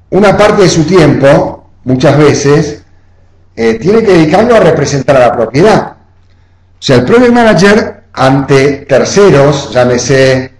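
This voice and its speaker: A man giving an online class lecture, explaining step by step and often checking in with "¿eh?". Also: mid-range voice. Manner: explanatory, often adds "o sea" and "¿eh?". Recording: roomy echo.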